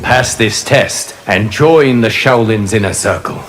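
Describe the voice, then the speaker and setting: A man playing a calm, wise fire god and martial arts mentor in a video game, speaking formally and gravely. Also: fairly low pitch, measured pace, soft background music. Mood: calm, instructive. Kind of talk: video game character dialogue.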